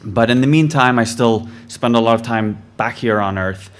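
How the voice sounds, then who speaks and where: A young man born in the UK gives an earnest self-introduction at a mic, relaxed and loose, with plenty of ums and uhs. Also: medium pitch, normal speed. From born in the UK, raised in many countries. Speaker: photographer and filmmaker. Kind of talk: short self-introduction speech. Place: club event hall, talking at a mic.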